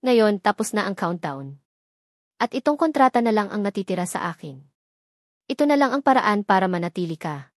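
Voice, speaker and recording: A woman reading as a desperate young man, hushed and pleading. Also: medium pitch, very animated, normal speed, long pauses, no echo, studio quality, natural voice. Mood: quiet sorrow. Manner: quiet, pleading, nearly whispering.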